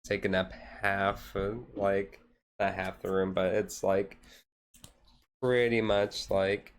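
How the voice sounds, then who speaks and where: A male streamer talks in a fairly low voice at a very unhurried pace. His delivery stays flat and rambling, with a hesitant, laid-back feel, like someone chatting while his hands are busy. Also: a little hiss or hum. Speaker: live streamer. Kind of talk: casual chat while doing a card-game activity.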